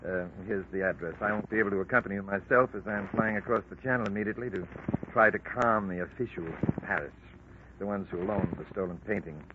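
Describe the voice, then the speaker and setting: A man's voice: fairly low pitch, steady tone, fast, lightly acted. A man playing a formal, long-winded art patron. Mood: earnest. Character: earnest middle-aged male art patron, fussy and proud. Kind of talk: 1940s radio acting. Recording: lo-fi old radio recording with hiss.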